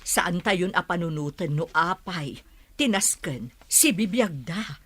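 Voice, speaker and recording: An actress with an Ilocano accent playing an older, gentle and pious village mother in a radio drama, speaking softly and consolingly. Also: on the low side, animated, measured pace, husky, full character voice.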